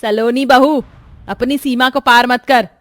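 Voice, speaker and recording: A woman's voice: a little high, fairly even intonation, measured pace, a bit bright, no echo. A woman voicing a strict, middle-aged mother-in-law in a moral-story cartoon, indignant and domineering as she lectures. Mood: stern, threatening.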